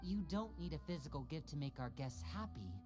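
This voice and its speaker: A woman's voice: low voice, a little fast. A woman voicing a composed, polite young woman in a video game who guides guests around. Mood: gently firm. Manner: calm, courteous, gently corrective. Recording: game audio with music behind. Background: game music.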